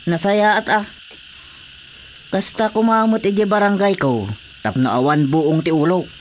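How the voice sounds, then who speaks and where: A man's voice: high-pitched, unhurried. Matter-of-fact male village captain, Ilocano accent. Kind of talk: Ilocano radio drama comedy acting.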